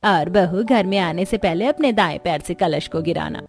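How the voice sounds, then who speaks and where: A woman voicing a warm, affectionate older mother-in-law of a humble household in a cartoon. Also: fairly low pitch, moderate pace, vocal fry. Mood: welcoming. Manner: affectionate, welcoming.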